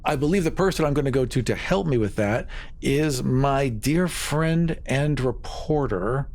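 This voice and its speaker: A man's voice: mid-range voice, animated, measured pace, a little mellow. A man chatting casually as a tabletop game player, improvising what his character will do and say. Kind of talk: casual improvised roleplay chat.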